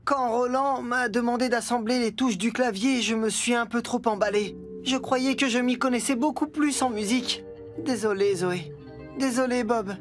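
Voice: mid-range voice, moderate pace, faint music behind, close to an everyday voice. An actor playing an eager, slightly cocky young male apprentice builder in a French-dubbed children's cartoon. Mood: apologetic.

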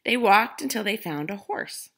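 A middle-aged woman reads aloud for children in a home setting. Her voice is bright and lightly gravelly, with lively rises and falls. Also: mid-range voice, moderate pace. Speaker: storyteller reading a picture book. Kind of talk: children's read-aloud and friendly address to viewers. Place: living room at home.

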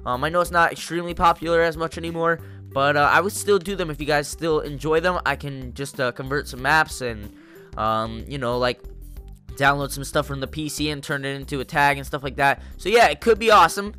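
A young man with a congested, stuffy voice giving excitable, jokey gameplay commentary to his viewers. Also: on the high side, a little fast, soft background music. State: congested from a cold. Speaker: gaming YouTuber.

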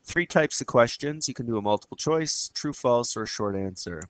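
Man with a cold explaining software. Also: medium pitch, lively intonation, moderate pace, clean tone, no echo. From Canada. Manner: casual, explanatory, with frequent fillers and restarts. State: has a cold, slightly raspy. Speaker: teacher. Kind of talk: screen-recorded software tutorial.

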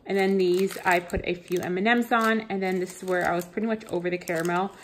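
A woman's voice: on the low side, fairly even intonation, quick pace, a little hiss or hum. A woman in her thirties, chatting casually to her viewers as she walks them through baking and cleaning in her home kitchen. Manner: chatty, talks straight to viewers. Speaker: lifestyle vlogger. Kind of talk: casual cooking and cleaning voice-over.

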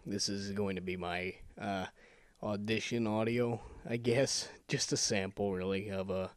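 A man's voice: on the low side, steady tone, a little slow, no echo. A man making an amateur voice-over sample, speaking in an informal, joking, self-amused way. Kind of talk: casual chat and rapid-fire list reading.

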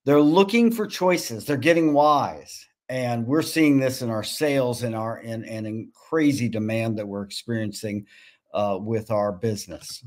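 Older man pitching a farm business. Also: measured pace, a little mellow. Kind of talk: business pitch and question answering. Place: home room with bookshelves. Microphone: video call.

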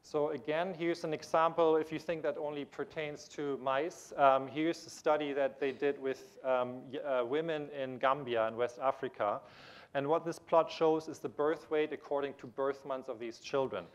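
A man's voice: fairly high pitch, fairly even intonation, normal speed. A man in his thirties giving a conference keynote lecture in a large auditorium on a lapel mic, explaining with frequent restarts and fillers. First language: German. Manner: gestures while pacing the stage. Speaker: keynote speaker. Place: large auditorium stage with audience.

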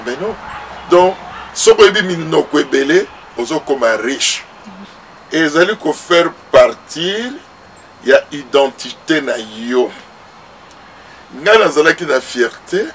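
A man's voice: fairly high pitch, a bit bright, far from the mic, slight background noise. A middle-aged man with a Congolese accent, a pastor speaking earnestly to the camera and moving between French and Lingala. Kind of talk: talk to camera. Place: outdoor porch by a garden.

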